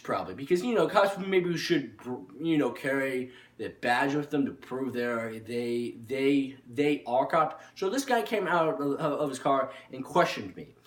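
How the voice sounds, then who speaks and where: A young man telling a personal story casually and off the cuff, rambling and haltingly, often repeating his words. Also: mid-range voice, fairly bright tone. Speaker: online video storyteller. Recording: echoey room, distant microphone.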